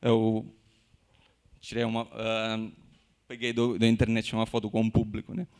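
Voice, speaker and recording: Sicilian man giving a halting lecture. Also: on the low side, monotone, darkish tone, clean tone. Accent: Sicilian Italian. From Sicily, Italy. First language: Italian. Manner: halting, many "né?" tags and restarts. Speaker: university lecturer. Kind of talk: academic lecture. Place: university lecture room.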